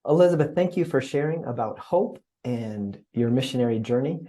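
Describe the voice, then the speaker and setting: A man acting as interviewer, asking gentle questions with careful, halting phrasing. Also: mid-range voice, lively intonation. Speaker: interviewer, pastor.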